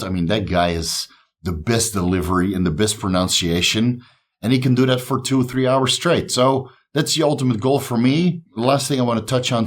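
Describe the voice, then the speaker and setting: Man, dutch accent, fairly low voice, slight speech impediment, upbeat chat to camera. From the Netherlands. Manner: upbeat, encouraging. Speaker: YouTube host. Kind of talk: casual talk to camera.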